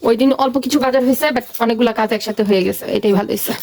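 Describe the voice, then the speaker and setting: A woman's voice: a little high, fast, darkish tone, a little hiss or hum. A woman with a Bangladeshi accent chatting casually and explaining things in a shopping haul vlog. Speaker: vlogger.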